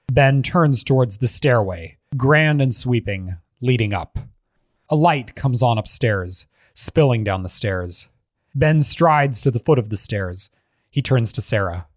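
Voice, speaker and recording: A man's voice: mid-range voice, animated, slow, no echo, studio quality. A man giving scripted narration, reading a screenplay's stage directions as the narrator of a table read.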